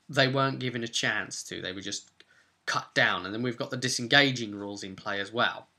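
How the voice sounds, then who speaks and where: A man's voice: medium pitch, sharp tone. A man speaking as a game master, giving a casual, rambling, unscripted recap of a tabletop role-playing session with enthusiastic asides.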